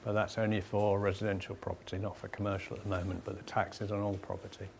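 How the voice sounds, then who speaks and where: A man's voice: on the low side, little pitch movement, quick pace, vocal fry, a little mellow, slight background noise. A man, an accountant, answering audience questions at a seminar in a direct, plain-spoken way. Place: seminar room.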